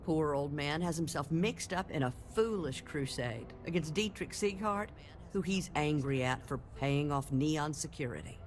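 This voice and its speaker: A woman playing a courteous, weary older general-store keeper in a video game, gently complaining. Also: low voice, expressive, normal speed. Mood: weary, apologetic.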